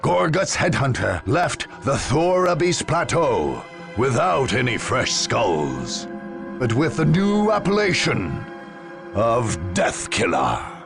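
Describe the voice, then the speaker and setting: A man's voice: medium pitch, wildly swooping pitch, slow, vocal fry, exaggerated voice. A man playing a grave chronicler, giving scripted, theatrical narration of a grim war for a video game, with music behind him. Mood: grave, dramatic.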